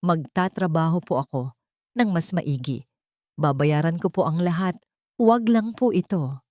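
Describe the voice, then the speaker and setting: A woman's voice: lowish voice, a little slow, a little mellow, no echo, clean studio sound, close to an everyday voice. A woman voicing a frightened poor young woman who pleads respectfully in an audio story. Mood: scared, pleading.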